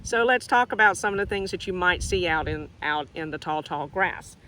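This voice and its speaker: A woman teacher reading a picture book to young children outdoors, expressive and asking them questions directly as she explains things simply. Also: on the low side, moderate pace, slight background noise, low-quality recording. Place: outdoors in nature.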